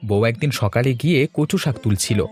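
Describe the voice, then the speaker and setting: A man's voice: medium pitch, moderate pace, darkish tone. A man voicing cartoon dialogue in a conversational, explanatory way. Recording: music behind the voice.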